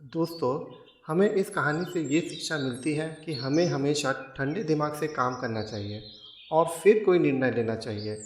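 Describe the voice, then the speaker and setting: A man's voice: fairly high pitch, moderate pace. Man narrating a children's storybook. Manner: steady, clear storytelling. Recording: echoey with music under it.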